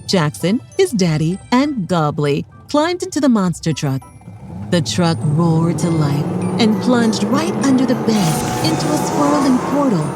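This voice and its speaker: A woman reading a scripted children's story aloud as narrator, expressively, for young children. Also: medium pitch.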